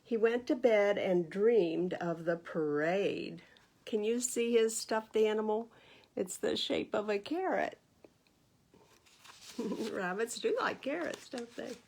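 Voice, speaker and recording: A woman's voice: medium pitch, lively intonation, slow, creaky, darkish tone. A woman hosting a children's storytime, reading a picture book aloud and chatting to young listeners with questions and explanations. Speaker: library storytime host.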